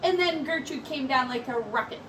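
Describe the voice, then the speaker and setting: A woman teacher reading a picture book aloud to young children in an expressive, animated storytelling manner with dramatic pauses. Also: high-pitched. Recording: distant mic, faint background noise.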